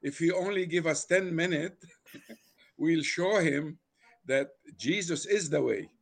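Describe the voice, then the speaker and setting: A man's voice: a little high, slow pace, creaky. An older man from Lebanon whose first language is Arabic, speaking English with an Arabic accent, telling a personal story with many fillers and restarts. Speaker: guest.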